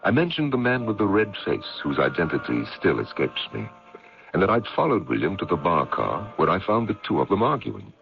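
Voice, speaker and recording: Deep, gravelly, indignant gentleman. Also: sing-song, fairly quick, soft background music, exaggerated voice. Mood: grave narrating. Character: protective, indignant gentleman magazine editor in a mystery. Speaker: actor and narrator in a radio mystery. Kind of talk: radio drama acting, dramatic dialogue and first-person narration. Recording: dull, lo-fi vintage radio recording.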